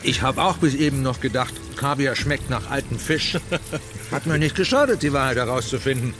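An actor playing a wry, self-satisfied gambler and turncoat in a radio drama, flippant in manner. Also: male voice, medium pitch, lively intonation, moderate pace, over music, lightly acted. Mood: wry, amused.

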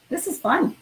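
A woman in middle age, a school principal, talking informally and reading a picture book to children in an encouraging way. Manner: encouraging, addresses children directly.

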